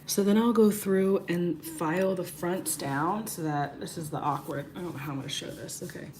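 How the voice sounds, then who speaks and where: A woman with a hoarse, raspy voice sits fairly low in pitch, sounding like she is losing it. She chats casually through a nail tutorial, greeting viewers by name as she works. A faint hiss of noise runs underneath. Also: moderate pace, a little mellow. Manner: chatty, greets viewers by name mid-task. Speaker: beauty livestream host.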